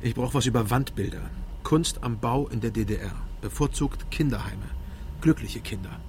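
An actor playing a wry, understated East German private detective in a crime radio drama. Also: male voice, mid-range voice, normal speed, slight background noise, natural voice. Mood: teasing. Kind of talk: first-person crime radio drama narration and dialogue.

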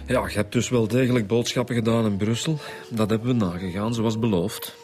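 A man plays a cocky detective with a Flemish accent in a radio police drama. His voice sits fairly low, with dry, needling delivery. Music is clearly heard behind him. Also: steady tone, fairly quick, darkish tone, natural voice. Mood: smug. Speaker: actor.

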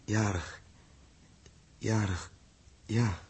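An actor playing a bewildered space captain of twenty-eight, halting and weary, in a 1960s radio drama. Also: male voice, lowish voice, steady tone, darkish tone, breathy edge, heavily acted. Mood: dazed, bewildered. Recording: noisy old radio recording.

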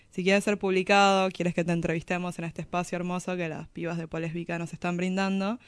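A woman's voice: fairly low pitch, clean tone, dry recording. Female segment host, casual radio talk, level intonation, dry studio sound.

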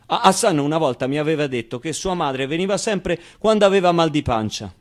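Theatre monologue: male actor as wry, tender orphan boy, higher-pitched voice, confiding and conversational. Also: expressive, fairly quick, close to an everyday voice. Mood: animated, confiding. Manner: conversational, hesitant interjections, emphatic repetitions. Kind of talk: theatrical monologue, intimate storytelling.

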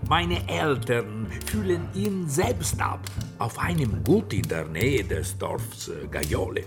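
Flamboyant Italian bookseller, swinging pitch. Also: male voice, big pitch swings, takes it slowly. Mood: boastful. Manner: theatrical, emphatic, peppered with Italian words. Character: flamboyant, shrewd Italian bookseller, a persuasive travelling trader. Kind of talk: radio drama acting. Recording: studio radio drama with loud music behind.

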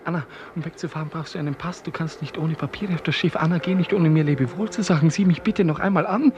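An actor playing a lovesick, weary clerk who pleads in the lyrical, theatrical manner of a 1950s German radio play. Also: male voice, a little high, quick pace, lo-fi sound, natural voice. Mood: anxious pleading. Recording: noisy old 1950s radio drama recording.

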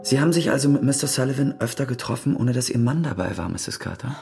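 An actor playing a formal, probing police detective questioning suspects in a radio crime drama, with a husky, gravelly voice. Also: male voice, mid-range voice, expressive, fast, loud music behind the voice. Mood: insistent.

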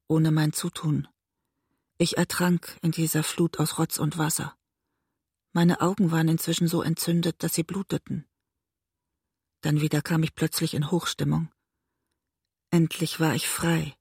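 An actress playing a weary, emotionally raw middle-aged woman in a radio drama, intimate and restrained, with a husky, breathy voice. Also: deep voice, darkish tone, no echo, studio quality, heavily acted. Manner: intimate, restrained, literary narrating. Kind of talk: radio drama narration and dialogue.

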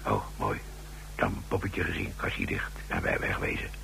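An actor playing a shrewd, folksy safecracker in a radio drama, with a gravelly voice and a halting, colloquial, deferential way of talking. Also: male voice, rumbling low, sing-song, bright, forceful tone, heavily acted. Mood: hushed, brisk. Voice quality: gravelly, creaky voice. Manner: halting, colloquial, full of tag questions. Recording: noisy old radio drama recording.

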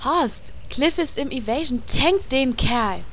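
A woman's voice: sits high, expressive, slow, fairly bright tone, full character voice. A woman playing an insistent gamer in a parody audio play, urgently coaxing and instructing a teammate. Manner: urgent, persuasive.

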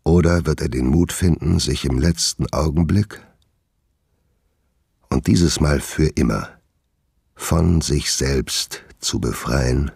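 A man acting in a radio drama as a doomed, melancholy gentleman doctor, solemn and weary in a deliberate confession. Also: very deep voice, gravelly, breathy edge, no echo, clean studio sound, exaggerated voice. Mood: grim, dreading.

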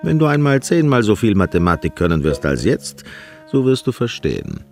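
An actor playing a nervous, condescending young mathematics professor in a German radio play, lecturing in a halting, evasive way. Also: male voice, on the low side, big pitch swings, a little mellow, faint music behind. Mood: flustered, condescending. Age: young adult. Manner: halting, lecturing, swinging emphatically.

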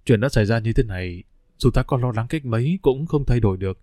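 A man voicing a calm, careful middle-aged husband and father with a measured delivery in a storybook reading. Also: dry recording, clean studio sound, natural voice. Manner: low, measured, steadying.

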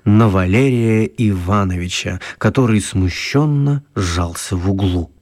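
A man narrating a children's radio play as an expressive storyteller. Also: lowish voice, a little slow. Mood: storytelling.

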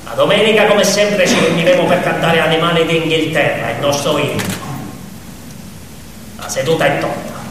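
A man's voice: on the high side, steady tone, normal speed, background noise, close to an everyday voice. A man voicing a brutal, domineering pig tyrant in a theatrical monologue, mocking and commanding. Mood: cold command. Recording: echoey reverberant hall, distant, music behind.

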